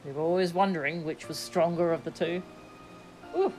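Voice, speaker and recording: Low-pitched woman streaming a game. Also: normal speed, a little mellow, faint music behind, low-quality recording. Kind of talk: casual livestream chat and reading game dialogue aloud.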